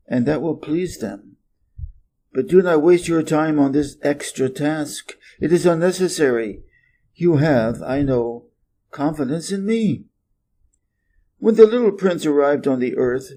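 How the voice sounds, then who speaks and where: Mellow American man reading a storybook. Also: fairly high pitch, slightly rough, poor audio. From Wisconsin, United States. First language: English. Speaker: narrator of an English reading channel. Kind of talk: scripted storybook narration.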